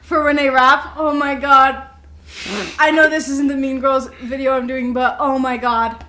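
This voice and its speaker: A woman's voice: high voice, flat delivery, measured pace, sharp tone, slight background noise. A young adult woman co-hosting a podcast in casual, giggly, bluntly teasing banter with a friend. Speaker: podcast co-host.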